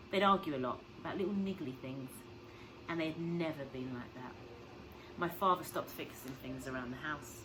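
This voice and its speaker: A woman with a low voice reads a children's story aloud like a teacher. She is expressive and puts simple questions and explanations to young listeners. There is clear noise on the recording. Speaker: teacher reading to pupils.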